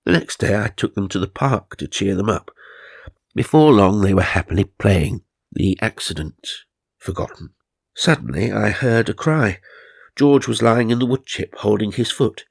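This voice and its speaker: A British man with a low, gravelly voice narrates in a comic, mock-serious way and drops in sardonic asides. The sound is dry and close. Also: expressive, normal speed, dry recording. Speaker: narrator and host. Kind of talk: comic reading of magazine stories with asides.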